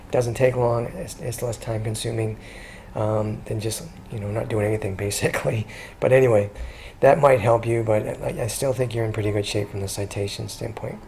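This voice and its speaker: A man talking casually through a screen-recorded walkthrough as a consultant, chatty and relaxed, with frequent ums and restarts. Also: on the low side, moderate pace, vocal fry, few pauses, slight background noise. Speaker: SEO consultant pitching a business.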